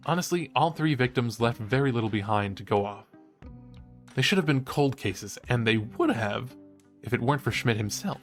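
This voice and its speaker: Actor as cheeky college guy doing true crime podcast, chatty n animated, music low behind. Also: normal speed, lightly acted. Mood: playful, wry. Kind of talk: conversational audio drama acting as podcast host.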